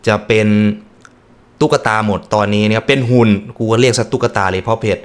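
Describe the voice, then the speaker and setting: A man's voice: on the low side, a little fast. A man giving casual commentary as a video host, translating as he goes, hesitant and often correcting himself as he thinks aloud. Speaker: video host reacting to and translating an English horror video.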